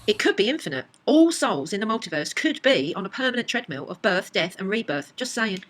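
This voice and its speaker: A woman's voice: medium pitch, sing-song, fairly quick, fairly bright tone. A woman voicing an earnest, literal, pedantic and eagerly helpful character in a comedy book reading.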